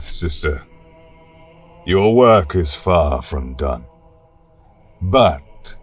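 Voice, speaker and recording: Stern angelic paladin trainer acted by a man: loyal, grave, formal, low voice, very measured delivery. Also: slightly rough, distant mic, heavily acted. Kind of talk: fantasy audio tale acting.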